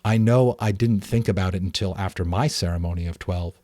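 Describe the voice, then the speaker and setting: A man's voice: low voice, a little fast, no room sound, close to an everyday voice. A man voicing a caring, earnest mother in a storybook, explaining things patiently. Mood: gently serious. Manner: patient, explanatory, gently advising.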